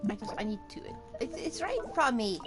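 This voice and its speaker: Animated woman streaming a game. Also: fairly high pitch, fast. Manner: mutters to self, quips. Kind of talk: live playthrough commentary, casual. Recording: loud game music behind the voice.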